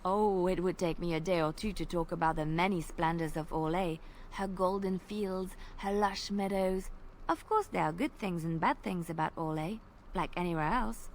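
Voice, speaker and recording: A woman voicing a young female bard and former spy in a video game, speaking in a soft, lilting way and lingering on detail. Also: lowish voice, moderate pace, a little hiss or hum, natural voice, poor audio. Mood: wistful. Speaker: game companion character.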